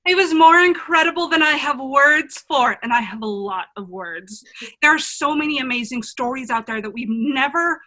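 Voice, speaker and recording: Excitable young fairy-tale runaway played by a woman: very high, forceful, gushing chatterbox for a radio play. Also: normal speed, full character voice. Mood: gushing wonder. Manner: rambling, gushing.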